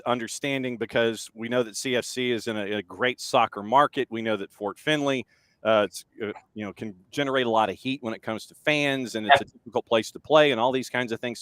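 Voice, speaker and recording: A man's voice: medium pitch, fairly bright tone, dry recording. A man hosting a talk show, asking long, rambling questions in a casual way. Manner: rambling, conversational questions with many restarts. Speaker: radio show host. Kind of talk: casual interview.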